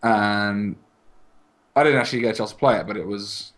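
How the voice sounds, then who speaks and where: A man's voice: fairly low pitch, normal speed, fairly bright tone. A man co-hosting a gaming podcast in casual conversation, wry and opinionated. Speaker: podcast co-host.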